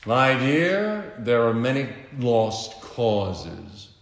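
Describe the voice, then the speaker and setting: A man voicing a smooth, scheming male billionaire villain in a grand, theatrical manner, in a roomy echoing room. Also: medium pitch, very animated, extremely slow, pitched above the performer's own voice, full character voice. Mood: smug.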